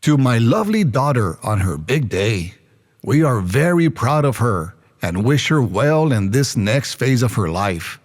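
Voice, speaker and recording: Mid-pitched proud joking father. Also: sing-song, unhurried. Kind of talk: audio fiction drama acting, toast.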